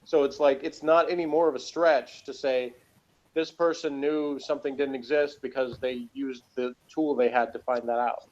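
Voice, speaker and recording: A man's voice: medium pitch, steady tone, moderate pace, darkish tone, dull audio. A man giving a casual, conversational TV review, explaining and arguing his points at length. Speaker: podcast reviewer.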